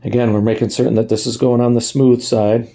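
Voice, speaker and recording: A man's voice: mid-range voice, level tone, fairly quick, creaky, dark tone. A man giving a casual hands-on tutorial in a folksy way, thinking aloud as he works, recorded on a phone. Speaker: amateur DIY video maker.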